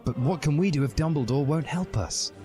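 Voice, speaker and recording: Man putting on a high, melodramatic schoolgirl. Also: fairly quick, natural voice. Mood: soothed, pleading. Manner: sobbing, melodramatic. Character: tearful, melodramatic offended teenage schoolgirl. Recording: music behind the voice.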